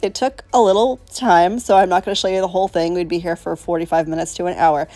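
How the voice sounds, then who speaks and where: A woman's voice: fairly low pitch, fairly even intonation, quick pace, dark tone, no echo. Middle-aged woman host, stuffy from a cold, chatty and self-mocking, dry close voice-over over faint music. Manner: chatty, self-deprecating, talks to viewers as y'all. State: congested with a cold. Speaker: nail tutorial host. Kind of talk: casual voice-over tutorial. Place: home, voice-over.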